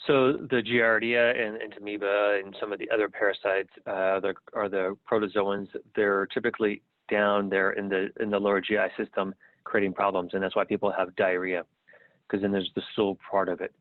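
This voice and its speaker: Middle-aged guy from the us, lowish voice, sounds like a phone line, dry. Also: normal speed, dry recording. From United States. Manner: relaxed, repeats words as he thinks. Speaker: guest. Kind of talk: podcast interview.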